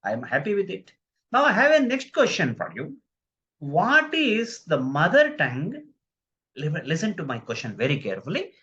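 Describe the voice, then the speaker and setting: A man's voice: high-pitched, huge pitch swings, normal speed, sharp tone. A man giving a live online lecture on communication skills, stressing key phrases, repeating himself and putting questions to his viewers. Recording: dull lo-fi recording.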